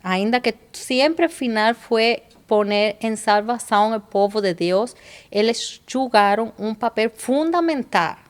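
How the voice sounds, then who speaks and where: A woman's voice: on the high side, unhurried, clear, resonant tone. A woman from Cuba with a Cuban Spanish accent, a guest on a casual podcast, speaking Portuguese thoughtfully and slipping into Spanish words. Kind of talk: casual chat.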